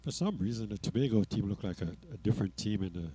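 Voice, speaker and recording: A man calling play-by-play at a youth soccer match in steady calls, putting questions to his co-commentator. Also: lowish voice, fast, husky, dull audio. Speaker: sports commentator.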